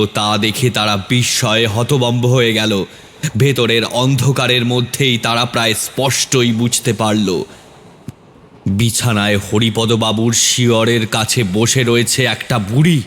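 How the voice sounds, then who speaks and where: Male narrator for scripted ghost stories: mid-pitched, suspenseful, dramatic storytelling. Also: fairly bright tone, slight background noise.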